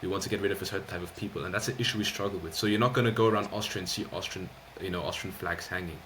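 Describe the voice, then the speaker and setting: A man's voice: deep voice, steady tone, fairly bright tone, slight background noise, rough recording. A man hosting a podcast, talking earnestly in a casual discussion and often saying "you know.". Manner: quick, earnest, many fillers of "you know". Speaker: podcast host.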